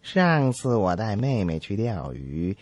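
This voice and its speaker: A man voicing a whiny, earnest small boy who complains slowly in a radio comedy sketch. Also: lowish voice, very animated, a little mellow, dry recording, pitched below the performer's own voice, heavily acted. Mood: complaining.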